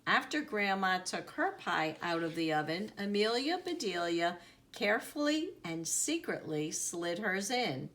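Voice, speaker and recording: A woman reads a children's story aloud at an unhurried pace. Her voice is bright and her pitch moves in lively swings, with deliberate, dramatic emphasis on key words. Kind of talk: expressive read-aloud narration.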